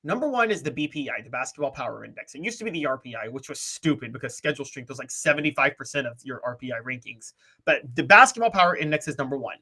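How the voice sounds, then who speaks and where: A man's voice: mid-range voice, expressive, fast, bright, forceful tone, husky. A man in his thirties co-hosting a sports talk show, opinionated and analytical, explaining his points at length. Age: 30s.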